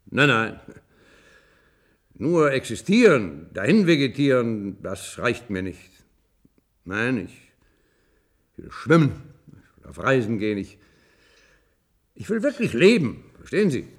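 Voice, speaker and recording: An actor playing a proud, bitter, ailing old ex-surgeon in a radio play, earnest and stubborn, hesitant then insistent. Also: male voice, mid-range voice, sing-song, slow, pauses often, heavily acted. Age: older. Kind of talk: German science-fiction radio play acting.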